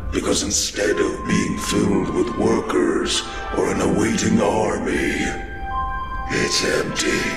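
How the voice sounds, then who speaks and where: A man narrating a comic book in a dramatic, ominous, deliberate style. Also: on the low side, gravelly. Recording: echoing voice under loud dramatic music.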